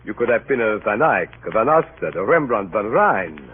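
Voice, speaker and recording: A man's voice: lowish voice, sing-song, moderate pace. A fussy, sardonic colonial inspector with a Dutch accent and lilting, widely swinging intonation. He is mock-polite and oddly inverted in his phrasing, in old radio drama style on a scratchy, narrow-band recording. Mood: sardonic, amused.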